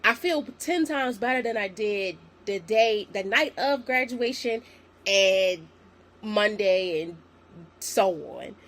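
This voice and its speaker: A young woman in her twenties hosting a solo podcast, slightly raspy from a sinus infection, chatty and encouraging as she talks to her listeners. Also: mid-range voice, animated, slow pace, fairly bright tone. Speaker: podcast host. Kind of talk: casual solo podcast talk.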